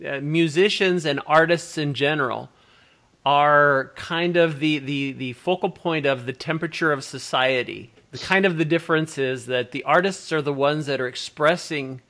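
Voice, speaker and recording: A man's voice: on the high side, moderate pace, fairly bright tone. An older man hosting a video call interview, musing at length between his questions. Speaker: interviewer host. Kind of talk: casual interview chat. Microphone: laptop video call.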